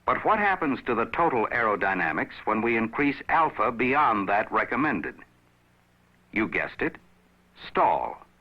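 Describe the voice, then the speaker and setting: A man narrates a scripted educational film in a measured, instructive manner, addressing the viewer directly. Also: mid-range voice, sing-song, husky. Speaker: narrator of a pilot training film. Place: recorded voice-over. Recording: dull, aged film soundtrack.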